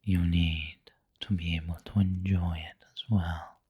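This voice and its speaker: A man playing a doting, refined vampire lord in an ASMR roleplay, speaking intimately in a breathy whisper with formal wording. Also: low-pitched, little pitch movement, a little slow, darkish tone, dry recording, exaggerated voice. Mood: patient, hungry.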